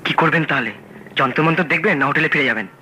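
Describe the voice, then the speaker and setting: An actor playing an earnest, helpful young sidekick in an old radio play. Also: male voice, a little high, bright, forceful tone, slight background noise, low-quality recording. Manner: brisk, earnest. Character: eager young male sidekick, helpful and quick. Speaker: Topshe. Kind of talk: 1970s radio drama acting. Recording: old radio broadcast with music.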